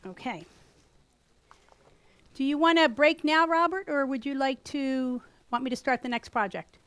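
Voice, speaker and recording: Loud, chatty East Coast woman teaching. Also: sits high, measured pace, no room sound. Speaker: gourd art instructor. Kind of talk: live craft demonstration. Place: workshop room with a small audience.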